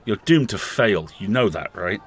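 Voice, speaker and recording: A man playing a weary but defiant radio host in an audio horror drama, speaking gravely and deliberately. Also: on the low side, lively intonation.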